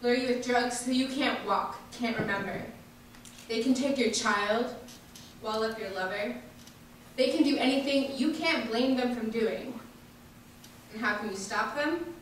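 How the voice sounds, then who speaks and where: A woman reciting a poem in measured, deliberate short phrases in a large echoey hall. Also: on the high side. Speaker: reader at a memorial staged reading. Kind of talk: poetry recitation. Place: large echoey indoor hall, distant microphone. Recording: echoey hall from far off, faint noise.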